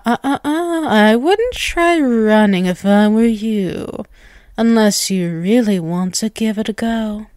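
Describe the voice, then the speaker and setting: A woman's voice: medium pitch, a little mellow, no echo, clean studio sound, natural voice. A woman voicing a dominant, ageless vampiress in an ASMR roleplay, teasing and condescending, drawing out her words. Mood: mocking, menacing. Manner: slow, condescending, teasing, with drawn-out words and chuckles. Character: dominant, taunting ageless vampiress toying with a captive human.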